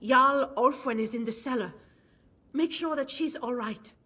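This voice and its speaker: An actress playing an exhausted, loyal court mage in a fantasy video game, earnest and dramatic. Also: female voice, sits high, a little slow, sharp tone, heavily acted. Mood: worried, pleading. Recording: faint music behind the voice.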